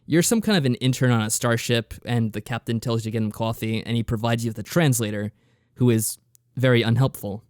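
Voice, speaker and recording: A man co-hosting a podcast, explaining things in casual, matter-of-fact review chat with deadpan sarcasm. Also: mid-range voice, fairly quick, clean tone, no room sound, studio quality. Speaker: podcast co-host.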